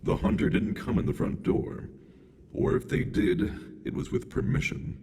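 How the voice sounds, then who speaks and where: A man voicing a bloodthirsty, curious robot hunter in a video game, speaking formally and deliberately through robotic processing. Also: warm tone, a little hiss or hum. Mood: coldly analytical. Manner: deliberate, formal, menacingly literal. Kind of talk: video game character dialogue.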